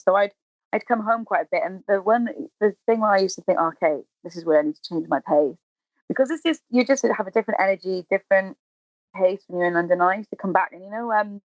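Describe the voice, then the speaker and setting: A woman's voice: medium pitch, no room sound, lo-fi sound. A woman in her thirties from Wales, a Welsh speaker with a Welsh accent, chatting casually as a podcast guest about her work as an actress and singer, full of ums and restarts. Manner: chatty, warm storytelling with many ums and restarts. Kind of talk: casual interview chat.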